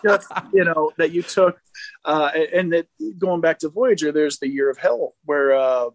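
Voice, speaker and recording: A man with a fairly high voice talks casually as a caller on a fan show. He feels his way through sentences with a halting delivery, and the sound is dry, with very little room. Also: dry recording.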